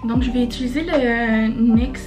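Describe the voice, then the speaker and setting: Young woman, Québécois accent, bit high voice, chatty with lots of hesitations, music playing behind. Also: fairly even intonation, moderate pace. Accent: Québécois French. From Quebec, Canada. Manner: chatty, frequent hesitations, mixes in English words. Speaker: beauty vlogger. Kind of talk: casual makeup tutorial chat. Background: background music.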